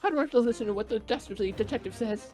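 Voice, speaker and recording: A player voicing a theatrical, excitable young girl in a game read-along, with dramatic drawn-out emphasis. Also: mid-range voice, soft background music, pitched above the performer's own voice, lightly acted.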